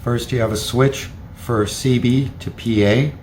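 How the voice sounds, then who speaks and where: A man's voice: mid-range voice, steady tone, a little slow, a little mellow. Methodical man reviewing gadgets, faint noise. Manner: plain, methodical step-by-step explaining. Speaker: product reviewer. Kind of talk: hands-on gadget review narration.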